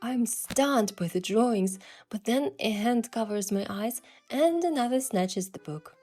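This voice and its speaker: A woman voicing a curious young adventurer heroine, telling the story in the first person with earnest, expressive delivery. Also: a little high, measured pace. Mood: flustered, curious. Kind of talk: scripted first-person narration and dialogue. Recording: music behind the voice.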